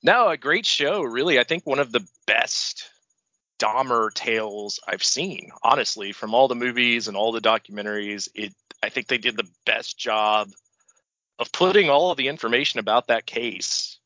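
A man's voice: medium pitch, lively intonation, normal speed, bright, forceful tone, no echo, muffled recording. A man co-hosting a casual podcast, congested from the flu, laid-back and joking with plenty of swearing. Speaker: podcast co-host.

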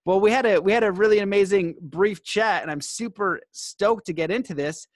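Higher-voiced man hosting a podcast. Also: moderate pace, no room sound, studio quality. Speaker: podcast host and coach. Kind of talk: podcast host talk, promos and guest introduction.

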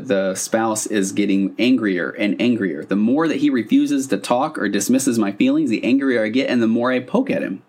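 Guy with a lowish voice doing a solo podcast, chatty explainer, kinda therapist talk, informal and animated. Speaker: podcast host and couples therapist. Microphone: podcast microphone on a stand.

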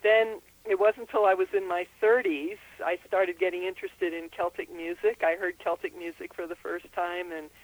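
A woman's voice: fairly low pitch, steady tone, normal speed, hardly any pauses, low-quality recording. An older woman from Austria, a herbalist and author, giving interview answers in a thoughtful, explanatory way with frequent ums. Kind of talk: interview answers over the phone.

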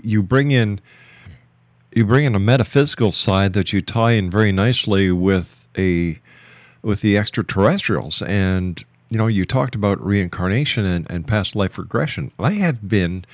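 Low-voiced male radio interviewer, dry sound. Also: no room sound. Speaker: radio show host, interviewer. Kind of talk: probing interview questions.

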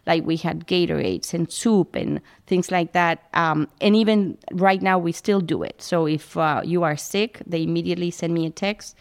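A middle-aged woman from Spain, a food pantry founder chatting as a guest in a halting, restarting way. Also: fairly low pitch, fairly even intonation. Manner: warm, halting, many restarts. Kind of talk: casual interview talk.

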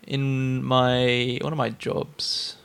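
Gravelly young Australian guy chatting. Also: medium pitch, monotone, drawn-out words, a bit bright. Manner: laid-back, laughs while telling stories. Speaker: podcast co-host. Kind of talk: casual chat.

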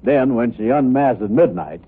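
An actor playing a gullible, grumbling sidekick with broad dialect delivery in a 1950s radio comedy. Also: male voice, medium pitch, animated, moderate pace, breathy edge. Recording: tinny, faintly noisy old radio recording.

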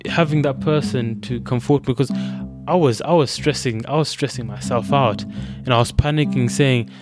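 A man's voice: medium pitch, normal speed, music in the background. A young man from Zimbabwe with a Zimbabwean accent, thoughtfully recounting his own experiences and often restarting his phrases. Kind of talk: reflective personal account.

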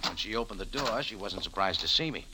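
A man's voice: on the low side, lively intonation, fast, pushed and bright, a little hiss or hum, low-quality recording. A man acting in a 1940s radio drama as a tough, wry American cafe owner turned sleuth, with an American accent and clipped hardboiled delivery. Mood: wry narrating. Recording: vintage radio broadcast with music.

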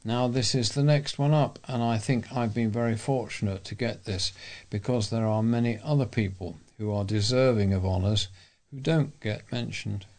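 A man's voice: lowish voice, normal speed, darkish tone. A man reading a local news bulletin aloud as a volunteer newsreader.

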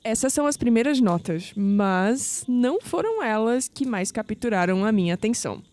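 Woman acting coy young Brazilian woman, mellow voice. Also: fairly high pitch, expressive, moderate pace, lightly acted. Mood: playful, intrigued. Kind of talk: audio drama acting.

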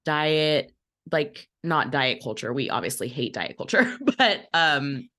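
A woman with a low-pitched voice chats casually on a podcast as part of the team behind it. She is bubbly and effusive in her talk, though a slight cold colours her voice. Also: animated, takes it slowly, bright, forceful tone. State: slightly under the weather with a cold. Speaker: podcast team member, operations manager.

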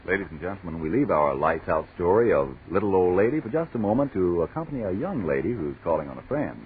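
A man's voice: deep voice, sing-song, fast talker. A man announcing a 1940s radio commercial in a formal, emphatic sales style. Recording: noisy, tinny 1940s radio broadcast.

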